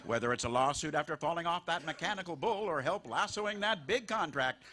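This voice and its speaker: A man's voice: fairly high pitch, expressive, a little fast, pushed and bright. A man announcing a scripted live radio-style variety show as its host.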